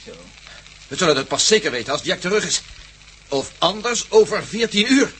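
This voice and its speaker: A man's voice: sits high, expressive, moderate pace, a bit bright, a little hiss or hum, rough recording. An actor playing an impatient, gloomy, doubting man in a radio play. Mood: businesslike. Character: brisk, bitter, doubting man regretting his choices. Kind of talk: radio drama acting.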